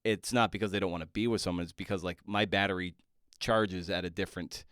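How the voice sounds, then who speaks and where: A man hosting a podcast in casual interview chat, speaking in a halting, thoughtful way as he circles toward his point. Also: low-pitched, steady tone, a bit bright, clear, resonant tone, dry recording, studio quality. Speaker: podcast host.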